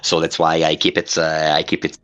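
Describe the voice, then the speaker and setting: A man's voice: extremely low pitch, monotone, fairly quick, fairly bright tone. A middle-aged Italian man speaking English with an Italian accent as a guest on a video call, hesitant and full of fillers. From Italy. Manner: hesitant, many uh fillers, laughs while talking.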